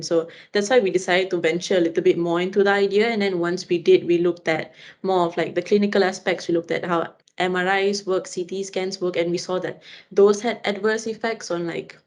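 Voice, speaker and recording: A woman's voice: fairly low pitch, darkish tone. A young woman from Malaysia, a student guest chatting casually on a podcast with fillers. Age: young adult. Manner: chatty, fillers like "you know".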